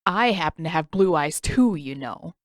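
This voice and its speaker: A woman's voice: deep voice, lively intonation, normal speed, no room sound, clean studio sound. A woman voicing a teenage boy, a sarcastic and defensive big brother with emphatic delivery.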